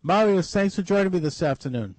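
A man's voice: sits high, animated, a little fast, a little mellow, dry recording. A man hosting a radio talk show, asking long questions with many restarts and fillers. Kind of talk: radio interview.

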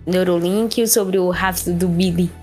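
A man's voice: sits high, fairly even intonation, normal speed, a little mellow, soft background music. A man with a Brazilian accent, a player describing actions in a tabletop game.

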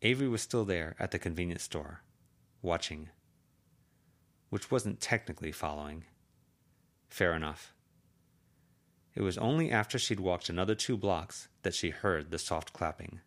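Calm male narrator, lowish voice, steady fan-audiobook read. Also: moderate pace, long pauses. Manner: calm, even storytelling.

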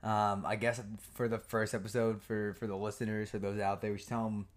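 A young man co-hosting a podcast, playful and teasing, with halting restarts. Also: fairly low pitch, little pitch movement. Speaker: podcast co-host. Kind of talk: casual chat.

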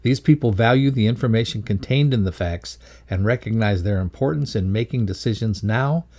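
A man reading scripted educational narration in a measured, instructive way. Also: fairly low pitch, darkish tone. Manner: measured, instructive read-aloud delivery. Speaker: reader of a values lesson.

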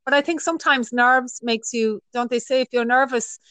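A woman's voice: on the high side, steady tone, normal speed, sharp tone, clean tone, no echo. A woman hosting an interview, enthusiastic and encouraging, with frequent exclamations. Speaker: interview host. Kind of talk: casual interview chat.

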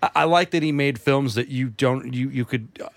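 A man's voice: mid-range voice, creaky, no room sound. A man chatting casually as a podcast host, rambling and restarting his sentences often. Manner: rambling, halting with many restarts. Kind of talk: casual chat.

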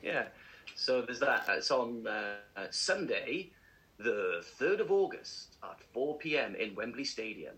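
Man, bright tense voice, animated chatty storyteller, laughs a lot. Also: mid-range voice, slow pace. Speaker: podcast co-host. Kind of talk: casual chat.

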